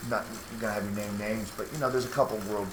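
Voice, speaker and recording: A man's voice: lowish voice, fairly even intonation, quick pace. Upbeat jokey male host with background noise. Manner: upbeat, asks questions, jokey. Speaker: host, interviewer. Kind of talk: casual chat during group ride. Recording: noisy background.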